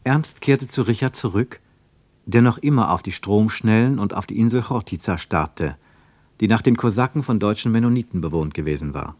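A man's voice: fairly low pitch, moderate pace, a little mellow, dry recording. A man narrating a literary radio drama from a script.

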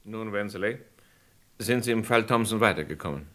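A man's voice: on the low side, animated, moderate pace, pushed and bright. An actor playing a composed senior police chief who challenges his subordinate in a radio crime drama. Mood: calm, questioning.

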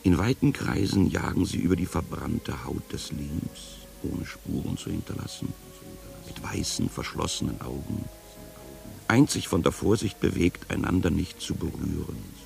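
Casting: extremely deep male voice with gravelly creak, world-weary wanderer, dryly ironic poetic radio narration. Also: lively intonation, normal speed, darkish tone, soft background music, exaggerated voice. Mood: poetic, solemn. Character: sardonic, world-weary first-person wanderer and guide. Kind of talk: literary radio play narration.

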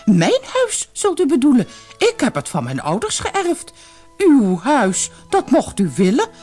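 Woman playing a cheeky old lady weasel, swooping high. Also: wildly swooping pitch, a little slow, a little mellow, soft background music, exaggerated voice. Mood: brazen mockery. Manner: haughty, formal, teasing. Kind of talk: storybook character voice.

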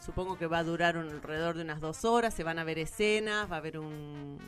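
A woman's voice: deep voice, quick pace, soft background music. A woman with an Argentine Spanish accent, speaking informally and explaining as a guest in a radio interview. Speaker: interviewed theatre event organiser.

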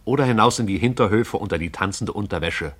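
A man narrating a literary radio play in a measured, descriptive storytelling way. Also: lowish voice, fairly bright tone, husky.

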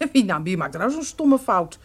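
Radio-play casting: detective's outspoken sidekick, lively swooping delivery, emphatic and exasperated. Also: female voice, medium pitch, moderate pace, husky. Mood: indignant. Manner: colloquial, emphatic. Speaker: supporting actress. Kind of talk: radio detective drama acting.

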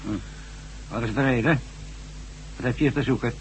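A man's voice: mid-range voice, fairly even intonation, normal speed, close to an everyday voice. A man playing a stern, suspicious official who interrogates newcomers curtly in a Dutch radio drama. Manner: curt, interrogating. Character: stern, suspicious official screening new workmen. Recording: slightly noisy radio play with hum.

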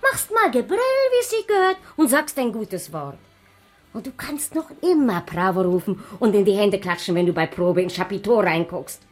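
A woman's voice: mid-range voice, expressive, moderate pace, soft background music, natural voice. Actress as a gossipy circus woman, swooping intonation. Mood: gossipy, insistent. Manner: gossipy, broken German. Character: gossipy middle-aged former circus snake dancer, theatrical and nosy. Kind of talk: radio play acting.

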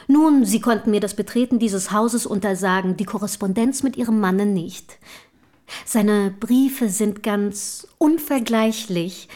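A woman plays a passionate young woman of letters in a radio drama. She is bold and provoking, speaking at a medium pitch with pointed, literary phrasing and theatrical fervour. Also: a little slow, warm tone, close to an everyday voice. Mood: needling, boastful. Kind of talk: German radio play acting.

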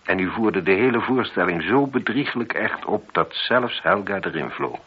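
Casting: methodical police inspector for radio drama, low voice, probing and courteous; muffled, noisy old recording. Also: male voice, lively intonation, husky. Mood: pressing, firm. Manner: measured, probing, politely insistent. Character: shrewd, methodical male chief police inspector confronting a suspect. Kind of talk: radio play dialogue.

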